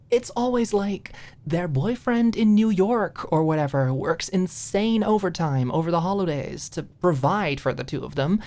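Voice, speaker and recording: A woman's voice: fairly low pitch, a little mellow, voice pushed up, natural voice. A woman voicing a snarky young woman in an audiobook romance, blunt and sarcastic, in a ranting conversational manner.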